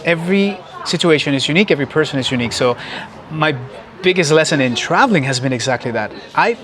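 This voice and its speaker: A man whose first language is Spanish, a guest in a casual interview, speaking in an earnest, reflective and measured way outdoors in a city. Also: on the high side, a bit bright.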